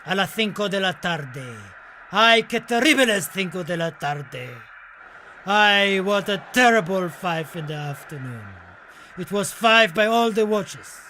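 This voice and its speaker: A man's voice: sits high, animated, measured pace, slight background noise, pitched above the performer's own voice, full character voice. A man playing an impassioned, grieving Spanish poet in a radio play, reciting in a solemn, dramatic manner. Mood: solemn, mournful. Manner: solemn, dramatic, swelling from hushed to declaimed. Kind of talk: theatrical radio play monologue and poetry recital.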